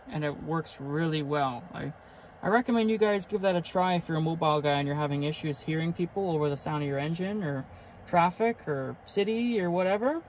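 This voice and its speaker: High-voiced man, casual enthusiastic vlogger. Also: low-quality recording. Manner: enthusiastic, informal. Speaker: vlogger, CB radio hobbyist. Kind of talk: casual vlog walkthrough, showing gear. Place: in and around a truck. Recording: clear vehicle and ambient noise.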